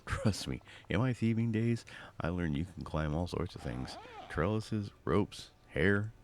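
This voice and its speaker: A man's voice: deep voice, expressive, a little slow, noisy background. A man voicing a charming, cocky roguish thief from a video game, flippant and teasing. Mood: cocky, wary.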